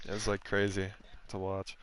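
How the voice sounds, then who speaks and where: A man's voice: deep voice, completely flat delivery, moderate pace, fairly bright tone, clean tone. Laid-back joking male race host. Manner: joking, laughing, crude banter. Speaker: host of a sim racing post-race stream. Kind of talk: casual post-race interview.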